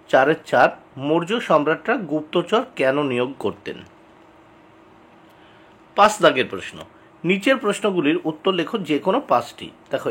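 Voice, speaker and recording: A man teaches by reading exam questions and answers aloud. His voice sits a little high and has a husky edge, with pitch that moves up and down in a very lively way. He speaks to students directly and repeats key answers in a deliberate, instructional manner. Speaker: exam tutor.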